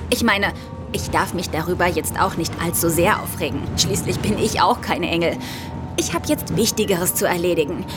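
A sly, jittery woman crook played by an actress in a radio play, polite on the surface and calculating underneath. Her voice sits at a medium pitch over clear background music. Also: lightly acted. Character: cunning, nervous female art thief, outwardly polite. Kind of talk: German audio drama acting with inner monologue.

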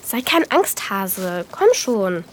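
A woman's voice: medium pitch, sing-song, slow pace, a little hiss or hum. A woman playing a bold, bossy and eager young girl detective in a children's audio drama. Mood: eager, daring. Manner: brash, eager, conspiratorial.

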